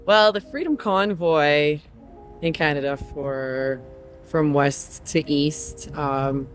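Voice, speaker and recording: A man from Croatia who drives trucks, answering interview questions earnestly with frequent pauses. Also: fairly high pitch, fairly bright tone, soft background music.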